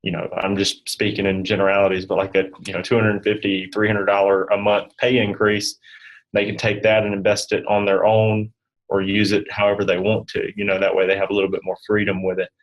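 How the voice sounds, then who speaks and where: A man giving casual advice as an interview guest, in a halting, loosely phrased way with many filler phrases. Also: lowish voice, completely flat delivery, muffled recording. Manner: halting, loose, peppered with "you know". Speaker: guest advisor.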